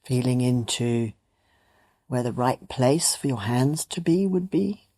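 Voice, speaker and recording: A meditation teacher gently leading a guided meditation in a soothing, unhurried way with long pauses. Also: medium pitch, expressive. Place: meditation retreat.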